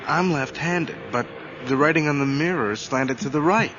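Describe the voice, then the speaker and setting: An actor playing a haunted, distraught man with halting, stammering speech in a radio horror drama. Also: on the high side, noisy background, close to an everyday voice. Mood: disbelieving. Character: haunted, distraught man tormented by his dead brother. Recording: dull, band-limited old radio recording.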